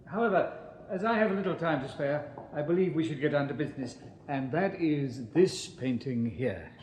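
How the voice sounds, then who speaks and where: An actor playing a pompous, snobbish Victorian police inspector in a radio drama, with an upper-class English accent and formal, condescending delivery. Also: male voice, fairly high pitch, lively intonation, moderate pace, darkish tone, close to an everyday voice, rough recording. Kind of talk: 1980s British radio drama acting.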